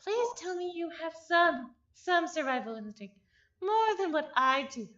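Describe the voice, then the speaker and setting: A woman streamer reading a visual novel aloud, expressive and given to breaking off into exasperated, chatty commentary. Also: very high voice, unhurried, dull audio. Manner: expressive, breaks off narration to exclaim and repeat words in exasperation.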